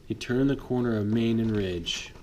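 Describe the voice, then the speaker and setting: Dad reading a bedtime story aloud. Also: male voice, fairly low pitch, moderate pace, background noise. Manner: lively read-aloud, voices for the trucks, chats with the child. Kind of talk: reading a picture book aloud to a child.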